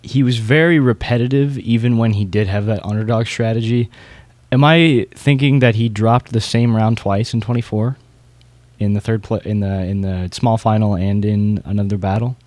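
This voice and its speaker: A man's voice: lowish voice, fairly even intonation, normal speed, darkish tone, dry recording. A man hosting a podcast, talking through competitors and his opinions in a casual, informal way. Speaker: podcast host. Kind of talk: casual discussion of beatbox competitors.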